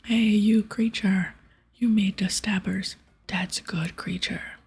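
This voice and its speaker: A woman voicing a crude, hungry ogre hunter in a breathy whisper, with childlike broken grammar, for an ASMR game playthrough. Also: mid-range voice, fairly even intonation, darkish tone, soft background music, heavily acted. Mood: pleased, eager. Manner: broken baby-talk grammar, drawn-out words.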